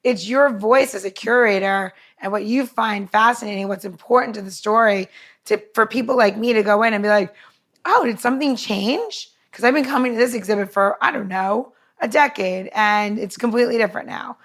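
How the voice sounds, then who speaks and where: A woman's voice: mid-range voice, a little fast. A woman co-hosting a podcast in casual chat, talking in an informal, meandering and enthusiastic way. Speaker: podcast co-host.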